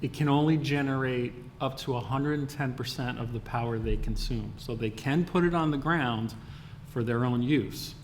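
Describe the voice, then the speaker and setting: A man's voice: mid-range voice, normal speed. A man speaking as a board member, clarifying points in a public meeting discussion. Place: indoor town board meeting room. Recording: faint background noise in meeting room.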